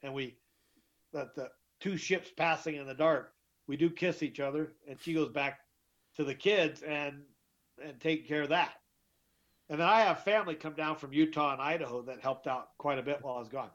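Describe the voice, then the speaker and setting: A man's voice: normal speed, fairly bright tone. Middle-aged man, emphatic, lo-fi sound. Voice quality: deeper voice. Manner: emphatic, repeats and restarts words. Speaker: guest, author. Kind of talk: interview storytelling. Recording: dull lo-fi sound.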